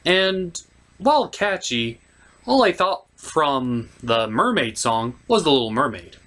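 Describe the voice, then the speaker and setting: A man's voice: on the high side, big pitch swings, takes it slowly, fairly bright tone. A man hosting a comedic film review, giving opinionated and digressive commentary. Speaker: host, puppeteer and film reviewer.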